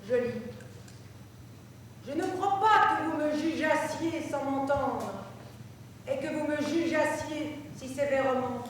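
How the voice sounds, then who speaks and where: A woman on stage reading grammar examples and old texts aloud in a precise, deliberate way, in a live performance. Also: sits high, a little hiss or hum. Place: large hall, live performance. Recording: echoey hall from far off.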